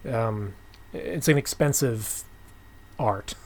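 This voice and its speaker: A man with a middle-pitched voice hosts an interview podcast. He speaks informally and conversationally, putting questions and comments to a guest. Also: takes it slowly. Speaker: podcast host. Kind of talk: casual interview chat.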